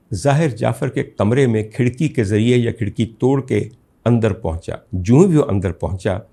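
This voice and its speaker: A man with a Pakistani accent giving an indignant, emphatic opinion monologue as a talk show host, full of rhetorical emphasis, in a husky, gravelly voice. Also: fairly low pitch, a little mellow. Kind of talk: opinion monologue recounting a case to viewers.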